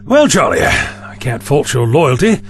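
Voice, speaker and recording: A man's voice: mid-range voice, wildly swooping pitch, a little slow, a little hiss or hum. A man playing a courteous, absent-minded time traveler in an audio drama, old-fashioned and polite. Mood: puzzled.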